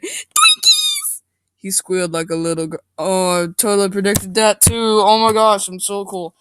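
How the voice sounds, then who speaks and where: A man's voice: on the high side, clear, resonant tone, no room sound. Goofy man reading with mocking asides. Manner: goofy, mocking, theatrical, breaking off to comment. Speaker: reader and commentator. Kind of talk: mock dramatic reading of amateur fiction with joking asides.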